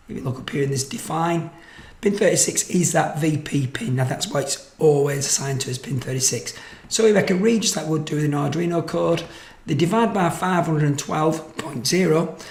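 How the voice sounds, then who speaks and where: Guy doing a casual tech tutorial, fairly high voice, roomy echo on it. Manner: casual, explanatory. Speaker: presenter of electronics tutorial videos. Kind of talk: informal tutorial narration of code and demo. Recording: roomy, echoey microphone sound.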